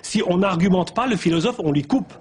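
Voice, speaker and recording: A man speaking as a guest in a televised debate, making a measured, emphatic argument point by point. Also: high voice, expressive, a crowd in the background.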